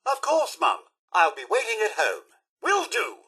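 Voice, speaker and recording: A man's voice: sits high, huge pitch swings, a little slow, bright, forceful tone, slightly rough, heavily acted. A man voicing a fussy, devoted robot butler in a video game, with a British accent and a formal, theatrical, effusively polite delivery. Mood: polite, eager. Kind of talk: video game character voice acting.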